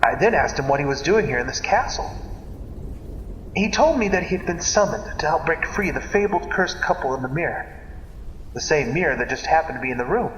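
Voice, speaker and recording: A man narrating a scripted story in a theatrical, deliberate manner, like an old-time radio serial. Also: a little high, lively intonation, highs cut off, a little hiss or hum. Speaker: narrator of a dream story series. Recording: echoey reverberant hall, loud music behind. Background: music.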